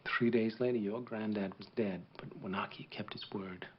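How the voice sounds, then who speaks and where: A man playing a grave, middle-aged war veteran officer who speaks in a measured, solemn way, as if telling a story to a child. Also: on the low side, fairly even intonation, dull audio, a little hiss or hum, natural voice. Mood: hushed. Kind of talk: dramatic monologue performance.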